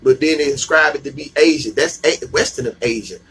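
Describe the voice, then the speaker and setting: A man's voice: medium pitch, fairly quick, a bit bright, husky. A man teaching scripture in an emphatic call-and-response style, slangy and repeating himself for emphasis. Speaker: bible teacher. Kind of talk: street-level scripture teaching.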